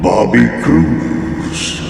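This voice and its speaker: A man announcing a horror radio drama in a theatrical, drawn-out, scripted style. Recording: heavy echo, long reverb, music.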